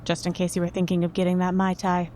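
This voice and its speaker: An actress playing a composed, shrewd investigating agent in a podcast drama, polite, official and measured. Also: female voice, fairly low pitch, monotone, mellow, slight background noise, natural voice. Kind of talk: naturalistic fiction podcast acting.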